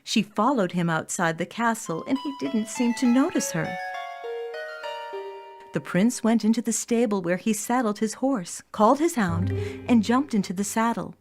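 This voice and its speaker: A woman's voice: fairly high pitch, lively intonation, moderate pace. A woman storyteller reading a scripted fairy tale for children, with music behind her voice.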